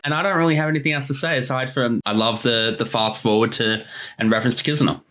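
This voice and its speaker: A man co-hosts a creator commentary in a casual, conversational style. His voice sits at an average pitch as he talks through the production like a director among friends. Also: steady tone, fast talker, fairly bright tone.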